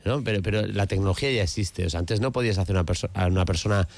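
A man chats casually as a panel contributor, with an accent from Spain. His voice is somewhat low and recorded very dry, and he often checks for agreement. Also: racing, gravelly, darkish tone, no echo. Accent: Spanish, from Spain. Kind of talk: casual chat.

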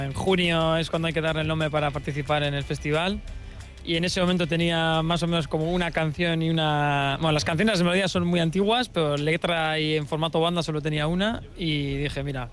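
A man nearing forty with a Spanish accent from Spain, chatting casually as an interview guest. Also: fairly high pitch. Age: approaching forty. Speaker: interviewed musician and scientist. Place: outdoors at a festival site.

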